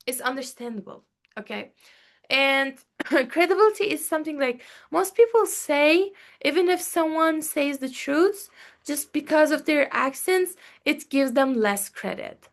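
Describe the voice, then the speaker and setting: Young turkish woman doing a class presentation, high voice, lots of uh and like, keeps asking okay?. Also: lively intonation, measured pace. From Turkey. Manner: hesitant, many fillers and restarts, checks in with "okay?". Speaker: student presenter.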